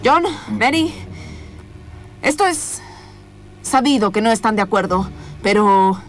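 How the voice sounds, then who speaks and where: A woman's voice: medium pitch, lively intonation, slow. A woman playing a theatrical, suspenseful host of a paranormal mysteries show in improvised radio comedy. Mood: grandly presenting. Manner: theatrical, suspenseful, rhetorical repetition. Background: music bed.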